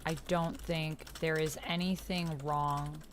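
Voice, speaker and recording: A woman with a slightly low voice plays through a text-based roleplay as its host. She reads passages aloud in a casual way, with an expressive, reactive delivery. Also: takes it slowly, a little hiss or hum. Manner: expressive, gasping and exclaiming reactions between read passages. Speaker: solo tabletop roleplay player and host.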